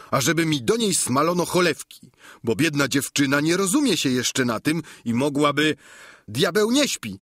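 Brief: man doing a chatty peasant squire, raised and husky, lively swoops, dry close read. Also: fairly high pitch, dry recording, voice pushed up, full character voice. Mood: proverb-spouting. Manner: rambling, full of folk proverbs. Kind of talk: audiobook character reading.

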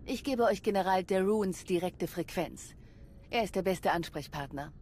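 A woman's voice: fairly low pitch, lively intonation, normal speed, a little mellow, a little hiss or hum, close to an everyday voice. An actress playing a composed, resolute young female commander in a video game, speaking in a businesslike, earnest way. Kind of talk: video game cutscene acting.

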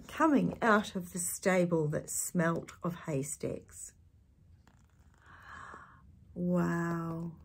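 An older woman with a husky voice reading a picture book expressively to young listeners, adding chatty asides and animated tag questions. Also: on the low side, slight background noise. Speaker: children's storytime reader. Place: small indoor space with curtain backdrop.

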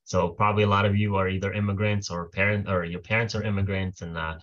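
A man's voice: low voice, flat delivery, fast, fairly bright tone, muffled recording. A man in his twenties, from Israel, presenting a webinar in a friendly, loose way with many fillers over a webcam mic. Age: 20s. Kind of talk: casual presentation. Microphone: webcam mic on video call.

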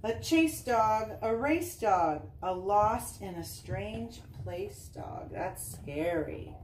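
Slow-paced woman reading to children, roomy sound. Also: medium pitch. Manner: expressive, singsong reading with chatty asides to children. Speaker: volunteer reading aloud to children. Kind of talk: picture book read-aloud for children. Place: living room indoors. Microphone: camera a short distance away. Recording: roomy, distant camera sound with faint noise.

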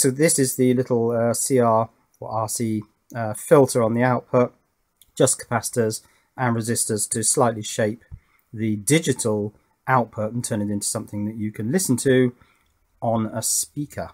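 A man's voice: mid-range voice, fairly even intonation, normal speed. Musing man explaining electronics. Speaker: presenter of electronics tutorial channel. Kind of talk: unscripted technical explanation. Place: indoors at a workbench.

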